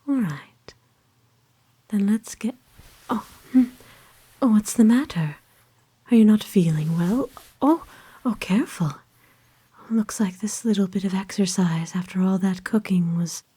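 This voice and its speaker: A woman playing a kind, aristocratic vampire in a whispered ASMR roleplay, speaking gently and soothingly with formal, old-fashioned phrasing. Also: lowish voice. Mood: concerned, firm. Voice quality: breathy, hushed whisper. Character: kind, doting, aristocratic female vampire owner.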